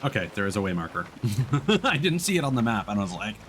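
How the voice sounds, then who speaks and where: Animated low-voiced male streamer. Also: fast talker, slight background noise. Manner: chuckles through remarks, informal slang. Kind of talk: casual live gameplay commentary.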